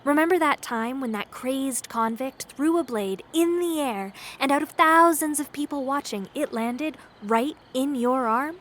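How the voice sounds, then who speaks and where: A sweet young woman acted by a woman with a fairly high voice, from a comedy horror audio drama. She sounds awkward and anxious, hesitant and quick to apologize. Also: a bit bright. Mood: awkwardly evasive.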